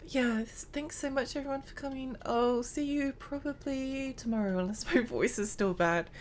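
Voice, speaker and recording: A woman's voice: sits high, moderate pace, slight background noise. Giggly woman streamer with a strained voice. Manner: chatty, giggly, rambling. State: strained, tiring voice. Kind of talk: live game stream chat.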